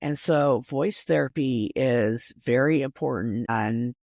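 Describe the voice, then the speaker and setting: Man with a fairly high voice. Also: slow, gravelly, darkish tone, no room sound, clean studio sound. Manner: chatty, frequent restarts and filler words. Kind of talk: casual conversation.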